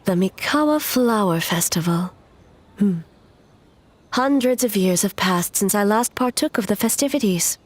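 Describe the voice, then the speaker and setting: A woman voicing an ageless, reserved female ruler in a video game, speaking in a formal, measured, stately way. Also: mid-range voice, expressive. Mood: reflective.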